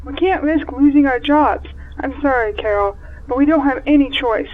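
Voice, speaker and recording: A woman's voice: high voice, moderate pace. An actress playing a fearful, apologetic woman heard through a telephone in an audio drama. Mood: fearful, sorry. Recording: muffled phone line, faint music.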